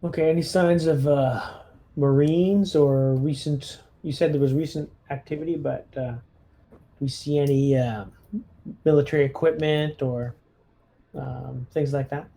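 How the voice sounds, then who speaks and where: A man chatting casually as a player in a tabletop roleplaying game, thinking out loud in a tentative way. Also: fairly high pitch, steady tone, a little slow, gravelly.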